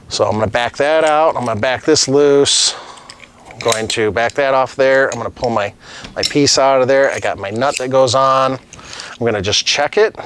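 A man's voice: mid-range voice, fairly bright tone. A middle-aged master plumber giving a casual, practical tutorial on a lapel mic while he works with tools at a desk. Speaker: master plumber teaching on his channel. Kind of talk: hands-on tutorial demonstration. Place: indoor studio set at a desk.

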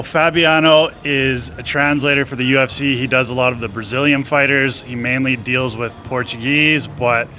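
A man's voice: medium pitch, bright, forceful tone, slight background noise. A young man hosting a casual interview, asking chatty questions outdoors into a handheld mic. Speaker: interviewer host. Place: outdoor rooftop terrace, city traffic behind.

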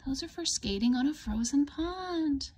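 A woman reading a picture book aloud to young children, explaining it simply in an expressive, questioning, child-directed manner. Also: high voice. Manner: expressive, questioning, with gasps and hushed asides; deliberate, child-directed phrasing. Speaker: storybook reader for young children.

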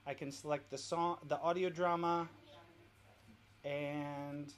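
A man's voice: fairly high pitch, little pitch movement, slow pace. A man hosting from home, informally explaining things step by step in a casual walk-through. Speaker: host of a family worship demo. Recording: noisy home recording.

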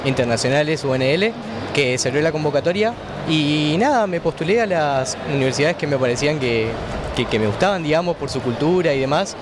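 A man's voice: on the high side, animated, a little fast, noisy background. A young Argentine man in his twenties, a student guest talking informally in an interview on a handheld mic. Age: 20s. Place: large indoor fair hall. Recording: background music at busy fair.

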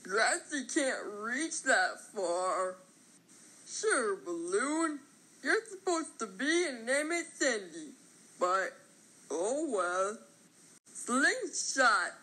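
Woman voicing a high, cutesy little cartoon grass kid. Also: very animated, drawn-out words, sharp tone, husky, full character voice, rough recording. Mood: relaxed. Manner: cutesy, refers to self in third person. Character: small childlike grass character, naive, cutesy, third-person talker. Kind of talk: cartoon character voice lines.

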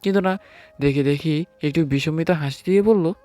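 A man's voice: fairly high pitch, moderate pace, darkish tone, dry recording, close to an everyday voice. A man playing a nervous, hesitant newlywed husband who narrates his own story in an audio romance. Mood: sheepish narrating. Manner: hesitant, sheepish. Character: timid, nervous newlywed husband, late twenties. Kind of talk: first-person story narration with acted dialogue. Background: music.

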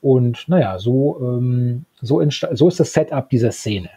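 A man's voice: medium pitch, expressive, measured pace, warm tone, slightly rough, no room sound. A man in his thirties casually discussing and retelling a story as a podcast co-host, often breaking off and restarting his sentences. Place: small book-lined home room, indoors, remote video call. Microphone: handheld-style recorder close on desk. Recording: dull, muffled podcast recording.

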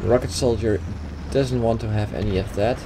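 A man's voice: fairly low pitch, steady tone, moderate pace. Dutch-accented man commentating a game. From Netherlands. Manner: hesitant, with restarts and fillers. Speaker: gaming YouTuber. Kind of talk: gameplay commentary over a replay. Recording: game noise behind the voice.

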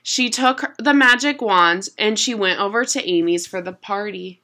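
A young adult woman reading a children's picture book aloud at home in an expressive, deliberate storytelling manner. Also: medium pitch. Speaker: storybook reader. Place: small room at home.

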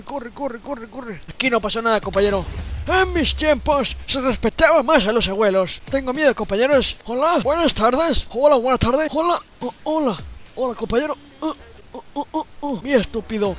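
Man doing a shrill cranky old grandpa gamer. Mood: grumbling. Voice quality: high, strained old-man voice. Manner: grumbling, swearing, yelling at the game. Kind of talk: comedic gameplay commentary.

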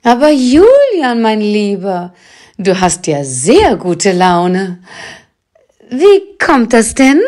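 A woman's voice: mid-range voice, huge pitch swings, slow pace, a little mellow. An actress playing a sassy, villainous witch grandmother in an audio drama, with a sardonic drawl. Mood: surprised, fond. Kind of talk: German audio drama acting.

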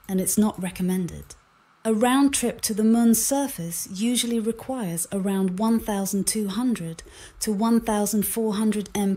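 A woman's voice: medium pitch, dark tone. A woman's synthetic voice as an AI mission companion, giving composed, formal, informative replies. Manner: composed, measured, explanatory.

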